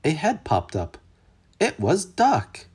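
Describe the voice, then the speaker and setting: A storyteller reading a children's story aloud with expressive, dramatic emphasis. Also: mid-range voice, slow, slightly rough. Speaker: storybook reader.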